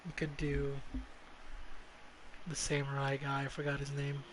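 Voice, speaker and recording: A man's voice: medium pitch, monotone, a little slow, noisy background. A man giving casual, profane gameplay commentary as a streamer, muttering to himself as he plays. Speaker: video game streamer.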